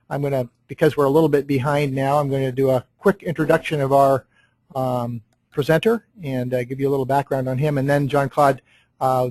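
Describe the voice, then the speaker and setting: A man's voice: medium pitch, darkish tone, no echo, poor audio. A man hosting a live webinar, introducing the presenter in an apologetic, casual way with many ums. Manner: apologetic, filler-heavy, um-laden. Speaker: webinar host.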